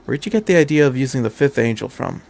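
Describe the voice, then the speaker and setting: A man's voice: medium pitch, animated, fast, vocal fry, a little mellow. Man voicing a curt, hot-tempered female commander. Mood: curt, suspicious. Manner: curt, demanding. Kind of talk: fan fiction audiobook character.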